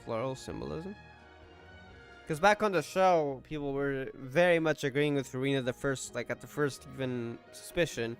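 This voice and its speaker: A man's voice: medium pitch, moderate pace. A man giving casual reaction commentary as he plays through a game, thinking aloud hesitantly. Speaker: gamer reacting to a story quest. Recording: game music behind.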